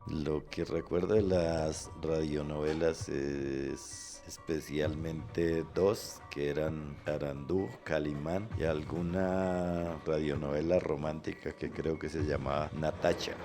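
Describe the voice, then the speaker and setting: An older man sharing personal memories in measured, hesitant phrases. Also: very deep voice, flat delivery, over music. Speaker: listener recalling childhood radio shows. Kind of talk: personal reminiscence.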